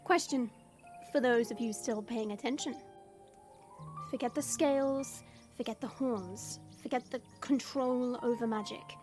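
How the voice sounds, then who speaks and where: A woman's voice: fairly high pitch, lightly acted. A woman voicing a proud female dragon who talks down to others in formal, condescending words. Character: haughty female dragon guide, proud of her kind.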